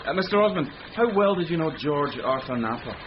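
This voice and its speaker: Male actor: courteous police sergeant, earnest, fairly high voice, radio mystery, noisy recording. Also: lively intonation, brisk, lo-fi sound, lightly acted. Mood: earnest, polite. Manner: courteous, deferential. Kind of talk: British radio drama acting.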